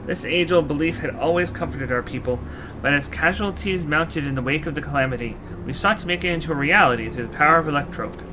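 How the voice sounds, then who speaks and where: A man's voice: fairly high pitch, fast talker, background noise, close to an everyday voice. A man voicing a courtly old knight reborn as a machine, telling his tale in formal, archaic, solemn speech for a fantasy game. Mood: solemn, earnest. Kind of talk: fantasy game character dialogue. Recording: music behind the voice. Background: game music.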